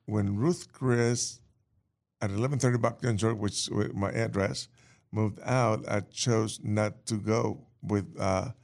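A man from Cuba, a guest on a talk show telling his story in a plain, steady way. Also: lowish voice, slow pace, vocal fry. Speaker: guest, restaurant owner. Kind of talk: casual interview.

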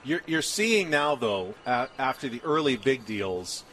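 A man's voice: mid-range voice, expressive, a little slow, fairly bright tone, a little hiss or hum, rough recording. A man with an American accent, hosting a conversational sports radio show and asking questions. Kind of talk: conversational sports talk and interviewing. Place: on location at a baseball spring training camp.

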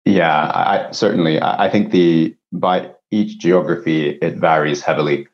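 A man's voice: sits low, fairly even intonation, normal speed, muffled recording. A man from Japan speaking as an executive guest, explaining things conversationally in an interview. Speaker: company CEO, podcast guest.

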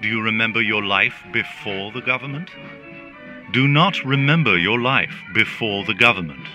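An actor playing a caring but menacing government relaxation robot in a dystopian radio drama, putting slow, rhetorical questions. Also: male voice, on the low side, animated, sharp tone. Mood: stern warning. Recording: music under the voice.

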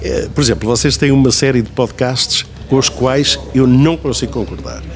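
Veteran male broadcaster played by an actor, European Portuguese, mid-pitched, easily offended, pedantic and rambling, for radio comedy. Noisy room sound. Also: fairly even intonation, moderate pace, natural voice. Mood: nitpicking. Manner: pedantic, nitpicking, digressive. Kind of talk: comedic radio drama dialogue. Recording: clearly noisy, room ambience.

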